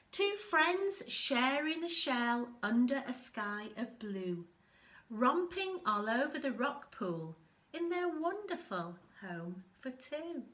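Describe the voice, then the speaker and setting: Infant school lady reading a picture book, slow pace, voice goes up and down loads, explains bits. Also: medium pitch, a little hiss or hum. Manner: expressive, explaining to young children. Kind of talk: children's picture book read-aloud with explanations.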